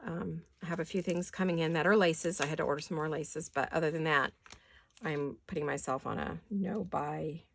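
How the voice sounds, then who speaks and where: A woman with a raspy voice from allergies, chatting casually to viewers as she crafts in her home studio, often talking to herself and slipping into whispers. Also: sits low, moderate pace, slight background noise. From California. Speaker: craft vlogger. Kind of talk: casual craft chat while working.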